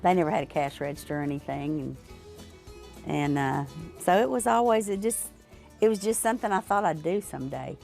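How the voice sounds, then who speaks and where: An older woman from Arkansas, a store owner giving reflective interview answers in a halting way, with many fillers. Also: low voice, gravelly, darkish tone, faint music behind.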